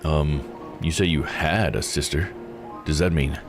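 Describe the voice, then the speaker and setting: A man as a deep-voiced, curious investigator. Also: steady tone, a little slow. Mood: courteous, attentive. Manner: courteous, inquisitive. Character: polite, curious investigator probing an old mystery. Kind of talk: reading visual novel dialogue aloud. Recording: music under the voice. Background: game music.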